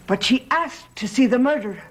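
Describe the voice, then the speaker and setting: A woman's voice: on the high side, animated, fairly quick, close to an everyday voice. Woman as grave devoted servant, swooping intonation. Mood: anxious, pleading. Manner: deferential, grave, urgent. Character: anxious, devoted servant pleading with the master. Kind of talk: 1960s-70s gothic soap opera acting. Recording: old TV soundtrack with loud background music.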